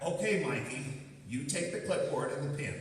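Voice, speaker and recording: A man's voice: fairly high pitch, lively intonation, normal speed, lightly acted. An actor playing a stubborn, weary, self-important older man in a stage radio play. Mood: bossy. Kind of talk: stage radio theatre acting. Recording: echoey hall from far off, faint noise.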